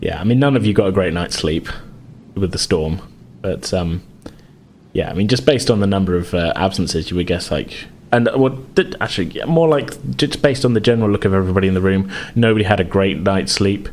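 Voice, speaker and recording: A man's voice: low voice, fairly quick, a little mellow, slight background noise. A man narrating a tabletop game as game master, improvising in a hesitant, hedging way.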